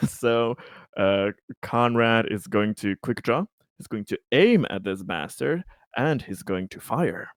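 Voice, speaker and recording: A man playing a tabletop roleplaying game, narrating his character's moves in a playful, halting, slightly theatrical way. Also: fairly low pitch, no room sound, studio quality. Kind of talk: casual in-game action narration.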